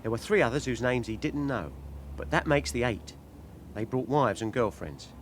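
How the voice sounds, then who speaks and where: An actor playing a guarded small-time police informant who haggles for money in a British radio crime drama. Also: male voice, medium pitch, normal speed, natural voice. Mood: confiding. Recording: clear background ambience noise.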